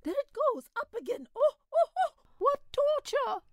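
Brief: panicky peasant man, high and whining, played by a woman. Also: huge pitch swings, measured pace, no echo, clean studio sound, full character voice. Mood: wailing.

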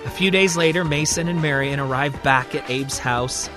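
A man's voice: fairly high pitch, moderate pace. A man narrating a scripted story dramatically as a storyteller. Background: music.

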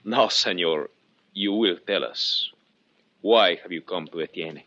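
An actor playing a suave, menacing Caribbean patriot with a Spanish accent, speaking formally and deliberately in a 1950s radio drama. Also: male voice, deep voice, sharp tone, exaggerated voice. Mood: menacing, proud. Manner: formal, deliberate, smoothly threatening. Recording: dull vintage radio recording.

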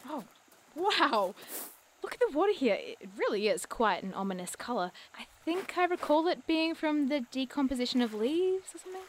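A woman's voice: high-pitched, big pitch swings, moderate pace, slight background noise. An actress playing an earnest, curious young woman in an audio drama, polite and a little hesitant in her speech. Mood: fascinated. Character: eager, bookish, curious young woman, earnest nature lover.

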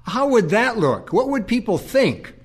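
A man's voice: sits high, big pitch swings, unhurried, slightly rough, big-room sound, full character voice. A man acting in an audio play as a stressed, pleading priest who cares for his confused mother. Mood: defensive. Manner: earnest, burdened, pleading.

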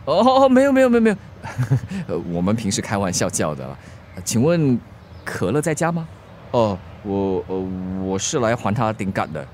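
Flustered young Singaporean man, animated pitch. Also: moderate pace, slight background noise. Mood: flustered, nervous. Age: young adult. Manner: blustering, stammering when flustered. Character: young man, private driver, proud yet easily flustered. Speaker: radio drama actor. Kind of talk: radio drama acting.

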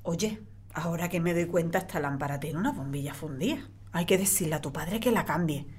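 A woman's voice: deep voice, brisk, a little mellow, husky. A woman playing a chatty, practical middle-aged mother with a mildly sardonic edge and an accent from Spain, in a naturalistic audio drama. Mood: grumbling, doubtful. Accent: Spanish from Spain.

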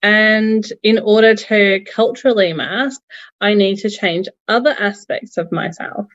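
A woman's voice: mid-range voice, highs cut off. A woman from Singapore with an Australian accent, a psychologist speaking thoughtfully as an interview guest. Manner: thoughtful, measured, occasional laughs. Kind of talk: reflective interview talk.

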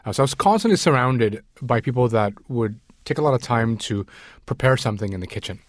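A man's voice: mid-range voice, animated, brisk, dry recording, studio quality. A man in his forties from Sri Lanka, a food writer, chatting as an interview guest, with frequent ums and uhs. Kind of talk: casual interview conversation.

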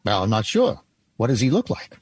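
A man's voice: medium pitch, huge pitch swings, fairly quick, husky. An actor playing a friendly 1950s department store doorman in old-time radio drama style, folksy and reassuring. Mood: helpful.

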